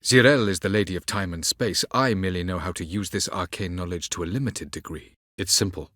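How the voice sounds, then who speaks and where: Low-voiced, haughty ancient elven sage. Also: male voice, a bit bright, no room sound, clean studio sound. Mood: measured, grave. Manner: measured, condescending, formal archaic phrasing. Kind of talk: video game character acting.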